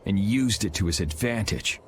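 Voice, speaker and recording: A man's voice: lowish voice, moderate pace, slight background noise, lightly acted. An actor playing a grim, blunt young swordsman in a video game cutscene.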